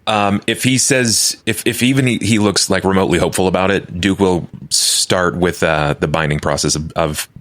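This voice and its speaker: A man's voice: low-pitched, normal speed, few pauses, fairly bright tone, dry recording. A man playing a tabletop roleplaying game, talking casually and describing his character's actions. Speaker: player in a tabletop RPG.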